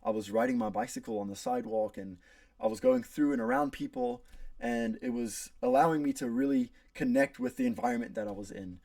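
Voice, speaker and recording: An earnest young man from South Africa with an American accent gives a prepared class speech, pausing on fillers and restarting phrases. His low, gravelly voice rises and falls widely. He stands back from the mic in a dry room. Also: huge pitch swings, dark tone, no echo, far from the mic. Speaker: student.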